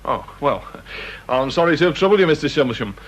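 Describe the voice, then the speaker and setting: A man's voice: a little high, animated, fast talker. An actor playing a polite, concerned male police constable in a radio drama, earnest and courteous.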